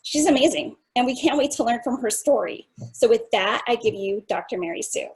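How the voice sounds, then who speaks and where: Breathy woman host reading an introduction. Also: fairly high pitch, animated, a little fast, darkish tone, rough recording. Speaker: event host introducing the speaker.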